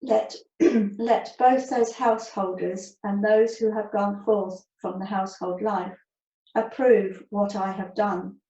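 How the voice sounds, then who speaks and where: A woman with a soft-toned, dark voice reads scripture at a very slow, measured pace. She sets out each phrase deliberately. The video-call sound is echoey and somewhat dull. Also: medium pitch, fairly even intonation. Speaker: reader, member of a Buddhist community. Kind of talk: reading scripture aloud, measured recitation.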